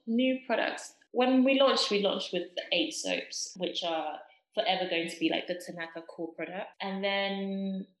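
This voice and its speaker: A woman's voice: mid-range voice, unhurried, echoey room, rough recording. A young woman from Zimbabwe, a soap business founder, talking casually and thoughtfully with lots of "like" fillers. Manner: thoughtful, many "like" fillers, laughs often. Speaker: guest, soap brand founder. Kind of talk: casual interview chat.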